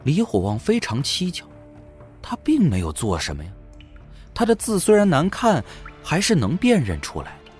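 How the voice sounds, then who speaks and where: A man's voice: mid-range voice, darkish tone. A man reading scripted, dramatic narration for a fantasy audio drama as its narrator.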